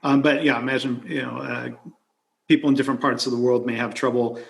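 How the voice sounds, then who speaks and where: A man's voice: mid-range voice, flat delivery, fast, creaky, a bit bright. A man from near Detroit hosting a podcast, asking friendly, curious questions with frequent fillers. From near Detroit, USA. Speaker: podcast host. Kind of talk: casual conversation.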